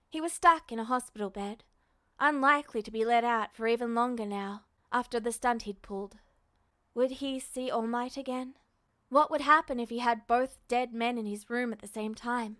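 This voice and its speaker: A woman's voice: on the high side, fairly bright tone, no echo, clean studio sound. A woman reading scripted fiction as an audiobook-style narrator, expressively voicing a character's questioning inner thoughts.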